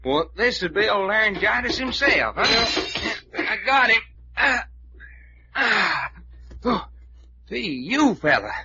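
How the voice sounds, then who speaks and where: An actor playing a folksy, wisecracking Southern US sidekick with a colloquial drawl, in an old-time radio serial. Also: male voice, high voice, very animated, slow pace, long pauses, over music, exaggerated voice. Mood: straining, joking. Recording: dull, noisy old radio recording.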